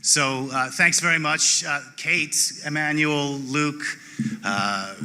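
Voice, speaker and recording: A man's voice: on the high side, takes it slowly, sharp tone, clean tone. A man giving an off-the-cuff, self-deprecating acceptance speech in a theatre, often breaking off mid-phrase. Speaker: actor accepting award. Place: theatre stage. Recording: echoing theatre hall.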